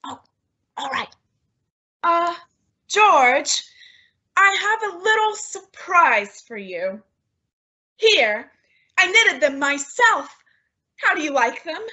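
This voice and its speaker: Woman acting a bright, high-voiced, excitable sitcom housewife. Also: slow, long pauses, highs cut off, full character voice. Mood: coy, pleased. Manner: theatrical, exaggerated comic delivery. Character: excitable, indignant, melodramatic young housewife. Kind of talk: 1950s radio sitcom acting.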